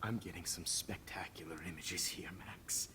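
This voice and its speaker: An actor playing a pompous, calmly menacing photographer villain in a video game, gloating softly over noisy captured audio. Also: male voice, sits low, moderate pace, in a whisper. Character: smug, calmly menacing photographer kidnapping his students.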